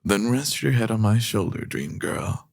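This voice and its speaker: An actor playing a smooth-talking, amused murderer and lover in a noir comedy radio drama. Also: male voice, lowish voice, animated, a little slow, no room sound, studio quality, heavily acted. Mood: soothing, smug. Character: smooth-talking, callous male killer and lover.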